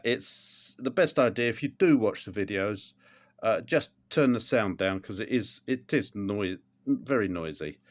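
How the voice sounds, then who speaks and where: Rambling older man. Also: lowish voice. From England. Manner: chatty and rambling, many ums and restarts. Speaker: motorcycle vlogger. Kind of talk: casual how-to demonstration.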